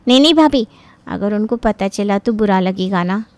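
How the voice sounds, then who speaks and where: A woman voicing a chatty, headstrong young bride-to-be in a cartoon, expressive in manner. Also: medium pitch, normal speed, dry recording, close to an everyday voice. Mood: coy, earnest. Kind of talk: cartoon voice acting.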